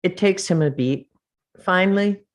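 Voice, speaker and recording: A woman with a lowish voice reads stage directions at a table read. She narrates in a measured, plain way over a video call. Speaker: reader of action and description.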